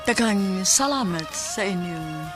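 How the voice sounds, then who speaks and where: Slow, measured Cebuano woman radio host. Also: mid-range voice, animated. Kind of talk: scripted radio narration. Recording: music bed under the voice. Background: music.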